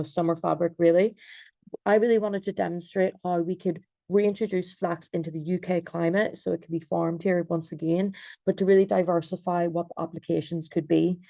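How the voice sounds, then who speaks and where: Young Irish woman presenting on a video call; gravelly, mellow, hesitant delivery with lots of fillers. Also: fairly low pitch, no echo. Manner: hesitant, many fillers and restarts. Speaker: designer and researcher.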